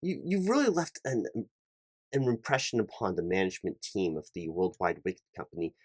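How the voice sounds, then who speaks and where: A man playing a polite, fussy, slightly flustered hiring manager in a one-sided ASMR job interview roleplay, speaking formally and haltingly and often repeating himself. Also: sits low, lively intonation, a little mellow, no echo, studio quality. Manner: halting, repetitive, over-careful, formal.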